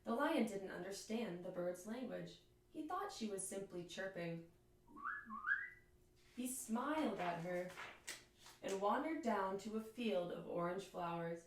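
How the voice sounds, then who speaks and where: A woman reading a children's storybook aloud like a teacher, with expressive, deliberate phrasing. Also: medium pitch, a little hiss or hum. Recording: echoey room, distant microphone.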